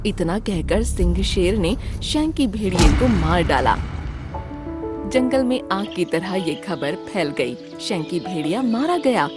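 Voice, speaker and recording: A woman's voice: on the low side, expressive, takes it slowly, music in the background. A woman narrating a scripted children's cartoon story.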